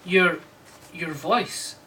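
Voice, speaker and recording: A man acts an attentive, worried husband in a radio play. His bright voice coaxes hesitantly, recorded some way from the mic over faint noise. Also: high voice, extremely slow, far from the mic, heavily acted. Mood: concerned. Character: worried, attentive husband Freddy, gently coaxing. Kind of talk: radio drama acting.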